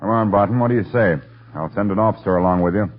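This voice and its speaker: A man playing a seasoned, gruff police commissioner, matter-of-fact and authoritative, in 1930s radio drama style. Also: on the low side, fast, close to an everyday voice. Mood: brisk, questioning. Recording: thin, lo-fi old radio recording.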